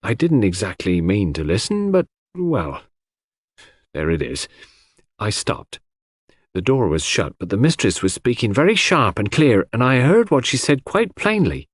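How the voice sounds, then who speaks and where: A man's voice: lowish voice, sing-song, a little slow, husky, dry recording, clean studio sound, full character voice. A man voicing an old, loyal English housemaid who is deferential, old-fashioned and easily indignant, in an audiobook mystery. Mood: deferential, confiding.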